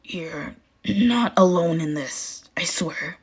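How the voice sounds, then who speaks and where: Casting: prickly teen boy, read by a female narrator, blunt, grumbling, awkwardly caring, low-key audiobook delivery. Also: expressive, slow, a little mellow, husky, full character voice.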